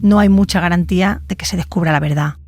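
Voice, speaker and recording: A woman's voice: fairly low pitch, fast, darkish tone. A woman journalist reads scripted narration for a documentary podcast.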